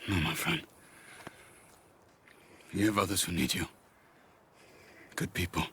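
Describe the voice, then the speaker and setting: An actor playing a solemn, loyal companion in a western game, speaking in a measured, grave manner. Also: male voice, low voice, lively intonation, sharp tone, slight background noise, heavily acted. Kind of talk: dramatic western game acting.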